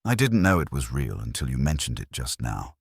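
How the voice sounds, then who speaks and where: A man voices a reclusive old councillor in a mystery audiobook. He is weary and evasive, very low in pitch, with halting, terse phrases that rise and dip. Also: very animated, vocal fry, slightly rough, dry recording, clean studio sound, full character voice. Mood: defensive. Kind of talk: audiobook detective mystery character reading.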